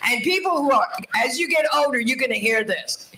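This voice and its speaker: A woman in her sixties doing profane stand-up comedy, brash and loud. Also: high voice, animated, brisk. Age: 60s. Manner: brash, foul-mouthed, loud punchlines. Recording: echoey distant clip with loud noise.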